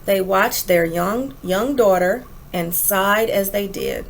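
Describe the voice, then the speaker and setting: A woman reading a children's storybook aloud like a teacher, with dramatic pauses and emphatic phrasing. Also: mid-range voice, vocal fry, a little hiss or hum. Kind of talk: expressive children's read-aloud, rhyming storybook narration.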